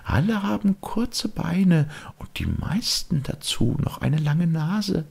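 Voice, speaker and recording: Guy doing a storybook fairy lady, way up high, breathy, gentle and patient. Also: expressive, slow, exaggerated voice. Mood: amused, knowing. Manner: soft, patient, knowing. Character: gentle, teasing young fairy woman, sisterly. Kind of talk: audiobook storytelling.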